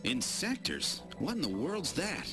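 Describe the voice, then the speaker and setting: Wry rookie detective, lively pitch. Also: male voice, unhurried, music in the background. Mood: puzzled. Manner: casual, questioning. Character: casual, wry male rookie detective hero. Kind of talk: video game voice acting.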